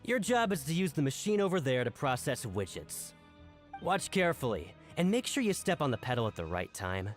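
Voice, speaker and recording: An actor playing a gruff, bullying prison foreman in a video game, stern, barking and condescending. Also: mid-range voice, very animated, fairly quick, faint music behind, lightly acted. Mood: bossy, instructing. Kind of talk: animated video game voice acting.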